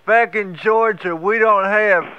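A man's voice: sits high, a little slow, highs cut off, slight background noise. A man doing a stammering, angry hillbilly. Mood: stammering rant. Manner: stammering, hurling folksy insults. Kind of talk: prank phone call.

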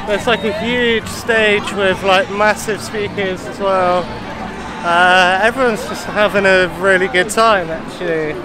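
A man's voice: sits high, fairly even intonation, unhurried, crowd noise. A man in his thirties chatting casually and enthusiastically to a handheld camera as a travel vlogger out on busy night streets. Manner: enthusiastic, informal. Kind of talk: casual vlog chat to camera. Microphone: camera held at arm's length.

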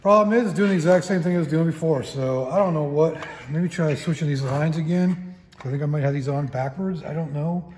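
A man's voice: a little high, fairly quick, darkish tone. A middle-aged man hosting a casual repair vlog, thinking aloud with frequent restarts. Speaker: channel host. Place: large metal garage workshop. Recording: echoey workshop with a long reverb and faint noise.

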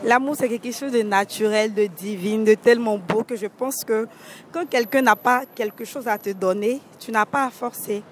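A woman's voice: fairly high pitch, normal speed, a little hiss or hum. A young woman answering a street interviewer at night, explaining her view earnestly with a few restarts, into a handheld mic. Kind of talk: vox pop answer. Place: city street at night beside traffic. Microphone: handheld mic held by interviewer.

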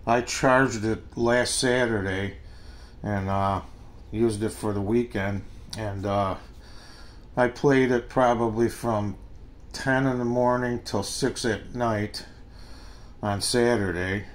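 An older man with an American accent gives a casual, unscripted product review in a halting, plain-spoken way. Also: on the low side, fairly even intonation. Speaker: gadget reviewer. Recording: noisy amateur home recording.

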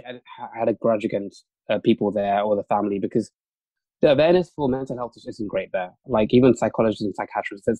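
A man's voice: fairly low pitch, a little mellow, no room sound, low-quality recording. A young man whose first language is Urdu, talking casually as an interview guest, with many fillers and restarts. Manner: fast, chatty, lots of fillers and restarts. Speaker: interview guest, junior doctor.

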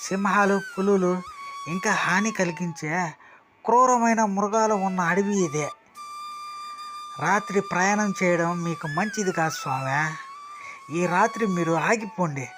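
A man's voice: high-pitched, a little slow, long pauses, a bit bright. A man playing a rustic forest hunter in a storybook tale, respectful and concerned, with a cautioning manner. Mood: concerned warning. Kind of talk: storybook character dialogue. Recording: music behind the voice.

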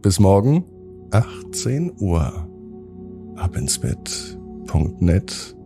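A man narrating a scripted bedtime story for children, with gentle, drawn-out phrasing and dramatic pauses. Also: lowish voice, very animated, creaky, soft background music. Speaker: children's podcast host and storyteller.

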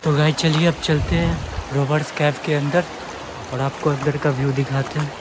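A man's voice: a little high, background noise. A man guiding viewers on a walking tour through a cave with a stream, talking to them as he goes. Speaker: travel vlogger. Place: outdoors in a rocky cave gorge with a rushing stream and waterfall. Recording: flat voice under loud rushing water. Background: loud rushing water.

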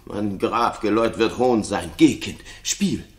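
A man's voice: on the low side, unhurried, close to an everyday voice. An actor playing a jealous, paranoid king in a 1960s German radio play, brooding and theatrical, moving between muttering and outbursts. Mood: bitter.

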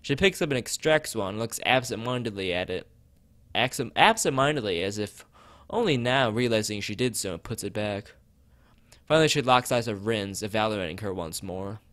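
A man's voice: lowish voice, expressive, normal speed, a bit bright, dry recording. A man streaming as he reads a visual novel aloud and chats with viewers, often stumbling and rereading phrases. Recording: background music behind voice.

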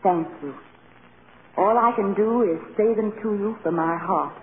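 An actress playing an earnest, anxious young film star in a vintage radio drama, speaking tenderly and hesitantly. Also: female voice, mid-range voice, measured pace, phone-quality sound, lightly acted. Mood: earnest, moved. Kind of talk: 1930s-40s radio drama acting. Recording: thin, noisy vintage radio recording.

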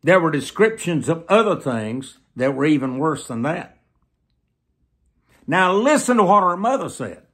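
An elderly man preaching to the camera in an emphatic, deliberate manner, often repeating phrases for weight. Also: fairly high pitch, very animated, a bit bright. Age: 70s. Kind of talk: sermon to camera. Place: small plain room indoors.

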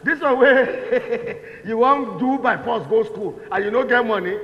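An actor as a jovial Nigerian layabout man in a stage comedy. His voice is very high, loud and full of boastful teasing. It rings in an echoey hall with muffled sound. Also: measured pace. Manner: loud, teasing, breaks into laughter. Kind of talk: stage play comedy acting in Pidgin and Yoruba. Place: indoor theatre stage, distant camera.

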